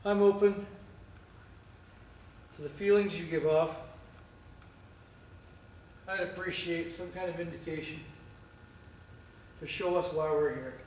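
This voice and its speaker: A man with a somewhat high voice coaxes a spirit in slow, courteous phrases. He sounds distant from the camera, and the sound rings around a large empty theatre with a faint hiss underneath. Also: steady tone, darkish tone. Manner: slow, coaxing and polite. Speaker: paranormal investigator. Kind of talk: calling out to a spirit. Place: large old theatre, indoors. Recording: echoey hall from far off.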